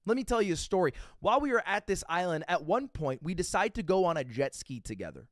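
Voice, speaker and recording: A man in his twenties, a streamer reacting to a video, telling a story with excitable, profane energy and acting out what others said. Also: high voice, fast talker, no room sound, studio quality. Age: 20s. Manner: excitable, profane, acts out quotes.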